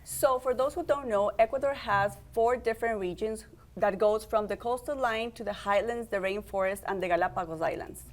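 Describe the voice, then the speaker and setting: A woman's voice: medium pitch, moderate pace. Upbeat woman hosting a TV interview. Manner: friendly, upbeat, guides the guests with questions. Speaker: host and program organizer. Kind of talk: community TV interview.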